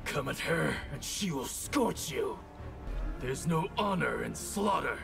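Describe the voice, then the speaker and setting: An actor playing a fierce, protective young ninja with a sense of honor in a video game, stern and intense. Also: male voice, a little high, expressive, slow, vocal fry, music in the background, heavily acted. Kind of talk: video game fighter dialogue.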